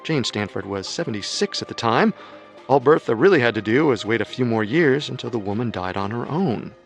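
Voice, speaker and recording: A man narrating a true-crime radio story in a measured, skeptical way over soft music. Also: medium pitch, lively intonation. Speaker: narrator and co-host.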